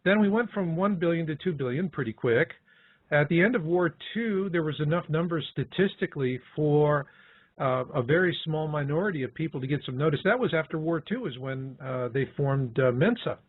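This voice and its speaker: A man's voice: a little high, lively intonation, brisk, husky. An older man in a conversational interview, explaining his ideas and asking questions as a co-host. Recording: thin phone-like line.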